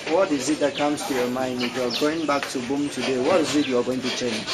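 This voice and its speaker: A man's voice: mid-range voice, fairly even intonation, brisk. A man with a Cameroonian English accent interviewing a guest, often rephrasing his questions. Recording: loud background noise.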